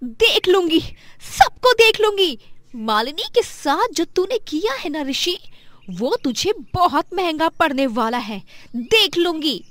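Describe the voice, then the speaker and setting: Woman acting a shrill greedy young wife. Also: big pitch swings, takes it slowly, fairly bright tone, dry recording, full character voice. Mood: vengeful. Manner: haughty, demanding, scolding.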